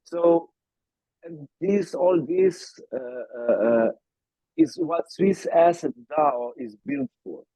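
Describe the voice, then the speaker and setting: A man's voice: a little high, very animated, slow, vocal fry, mellow, husky, no echo. A man from Slovenia answering podcast interview questions, with many fillers and restarts. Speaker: startup founder, guest. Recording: tinny phone-like call audio.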